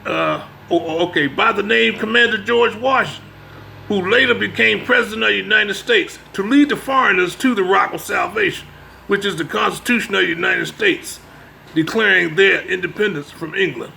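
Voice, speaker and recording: A man with a gravelly voice giving an informal religious lecture, part reading and part commentary, emphatic and repeating his phrases to press the point. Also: high-pitched, normal speed, bright, forceful tone, a little hiss or hum, rough recording. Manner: emphatic, repeats phrases, checks listener's understanding. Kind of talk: informal religious lecture mixing read-aloud passages and commentary.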